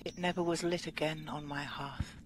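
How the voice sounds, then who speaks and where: A woman reading poetry aloud in an expressive, measured and dramatic manner. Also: low voice, slight background noise. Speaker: poetry reader.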